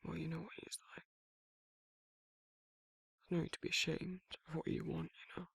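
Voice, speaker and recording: Man acting a male werewolf boyfriend, very breathy and husky, heavy fry, tender, teasing, intimate ASMR roleplay. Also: a little high, flat delivery, normal speed, fairly bright tone, dry recording, exaggerated voice. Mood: tender, coaxing.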